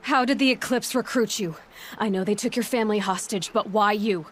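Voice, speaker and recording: A woman's voice: mid-range voice, a little fast, a little hiss or hum, close to an everyday voice. An actress voicing a determined young woman hunter who asks direct, probing questions, in a video game.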